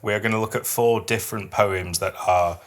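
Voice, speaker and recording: A man's voice: fairly low pitch, fairly even intonation, a little fast. A middle-aged man co-hosting a podcast, chatting casually and reading poetry in a self-deprecating, explanatory way. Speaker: podcast co-host.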